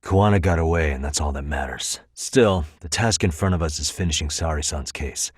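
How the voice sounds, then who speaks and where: Deep-voiced, composed American detective. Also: male voice, a little fast, husky, no echo, studio quality. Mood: composed, decisive. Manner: controlled, pointed, dryly sardonic questioning. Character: male detective, composed, probing, wry and tough. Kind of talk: video game voice acting, dramatic interrogation dialogue.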